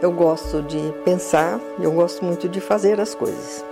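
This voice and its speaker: A woman in her seventies speaking calmly and reflectively as a guest in a group radio talk. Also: fairly low pitch, normal speed, music in the background. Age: 70s.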